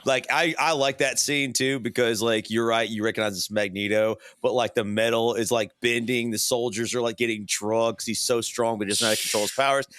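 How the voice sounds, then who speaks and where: A man in his thirties co-hosting a podcast, chatting in a relaxed way and saying "dude" a lot. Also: mid-range voice, fairly quick, hardly any pauses, no echo. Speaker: podcast co-host. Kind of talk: casual chat.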